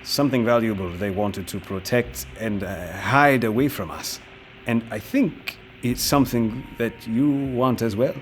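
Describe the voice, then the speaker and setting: An actor playing an ambitious young-blooded captain, hesitant yet determined, in an audio drama. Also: male voice, lowish voice, a little slow, slight background noise, close to an everyday voice. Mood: determined bargaining. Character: nervous but ambitious male warlord's nephew, a captain.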